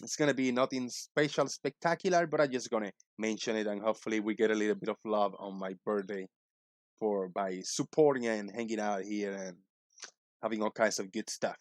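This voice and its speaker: A man in his forties hosting a casual livestream, talking in a loud, joking, rambling way. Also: lowish voice, a little fast, no echo, studio quality. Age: 40s. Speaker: livestream host.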